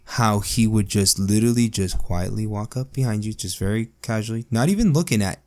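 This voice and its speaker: Male podcast co-host, lowish voice, eager and giggly, self-mocking. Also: brisk, a little mellow. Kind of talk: casual film review chat.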